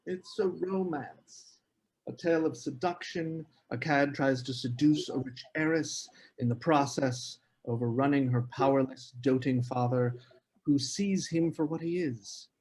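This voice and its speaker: A man's voice: mid-range voice, measured pace, a little mellow, lightly acted, rough recording. A man playing a proud, earnest writer in a period literary drama table read, speaking in formal phrases. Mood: reflective. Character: Geoffrey Aspen, proud, earnest male writer.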